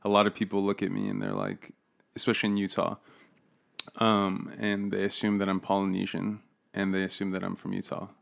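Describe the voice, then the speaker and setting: A man who grew up overseas, a podcast guest telling his own story in a casual, somewhat halting way. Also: low-pitched, level tone, gravelly. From grew up overseas, in Russia, China, Taiwan and Uzbekistan.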